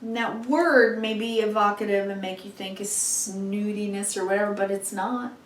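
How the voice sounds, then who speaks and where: Female tarot vlogger, warm and chatty, slightly hoarse, mid-pitched, recorded in an echoey space a few feet from the mic. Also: steady tone, normal speed, a little hiss or hum. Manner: chatty and encouraging, many "you know"s. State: losing her voice, slightly hoarse. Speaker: tarot reader. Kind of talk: weekly tarot reading to camera. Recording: echoey room, mic at a distance.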